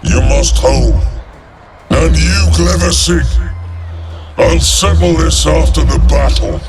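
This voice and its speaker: An actor playing a haughty, harsh army commander in a video game, contemptuous and commanding. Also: male voice, fairly high pitch, slow pace. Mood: cold anger. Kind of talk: dramatic video game acting. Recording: loud music under the voice.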